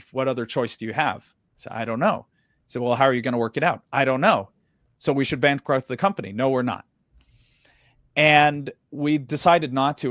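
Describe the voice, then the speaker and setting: Male interview guest, American accent, animated storyteller, mid pitch, dry studio sound. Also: no echo. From Sweden. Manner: animated storyteller, acts out dialogue with quick jumps in pitch.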